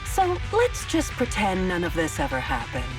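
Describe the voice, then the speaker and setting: A woman's voice: fairly low pitch, huge pitch swings, moderate pace, distant mic, over music, poor audio. A woman voicing a haughty, sly, easily irked cat-like villainess in an English game dub, condescending and testy. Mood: testy, dismissive.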